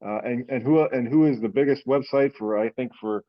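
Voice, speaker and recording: A man's voice: mid-range voice, fairly quick. A man hosting an online meeting in a casual, informal, rambling way, heard over a conference call. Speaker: meeting host. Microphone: teleconference call. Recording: dull lo-fi conference call audio.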